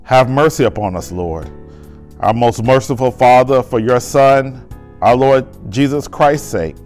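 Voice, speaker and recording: A man's voice: mid-range voice, measured pace, darkish tone, soft background music. A man, a pastor, leading a sermon and communion liturgy in a church sanctuary with a lapel mic, with fervent, emphatic preaching and solemn prayer.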